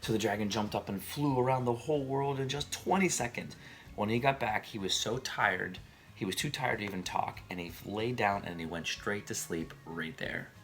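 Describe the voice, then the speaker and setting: A man reading aloud to children at bedtime, expressive and emphatic, speaking directly to his young listeners. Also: lowish voice, normal speed. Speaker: storybook reader.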